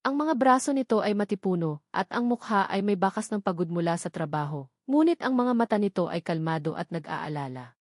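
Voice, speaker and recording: A woman narrating a scripted love story in a measured, descriptive storytelling manner. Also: fairly low pitch, lively intonation, no room sound, studio quality.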